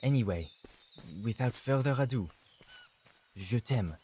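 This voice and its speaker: A man's voice: mid-range voice, animated, measured pace, mellow, slight background noise, lightly acted. A man playing a messy, romantic, slightly nervous boyfriend in a game cutscene, earnest and theatrical, with French endearments. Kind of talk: dramatic game cutscene acting.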